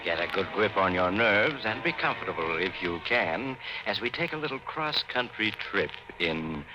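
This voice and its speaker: A man's voice: lowish voice, lively intonation, moderate pace, over music, close to an everyday voice. An actor playing a sly, mysterious traveler, a man hosting spooky tales in a suave, teasing 1950s radio manner. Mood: sly, inviting. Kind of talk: 1950s radio horror host narration. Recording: dull 1950s radio recording.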